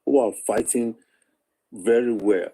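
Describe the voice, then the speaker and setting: A man's voice: mid-range voice, fairly even intonation, unhurried, warm tone. A Nigerian man reasoning persuasively in an informal discussion, repeating phrases to press his point.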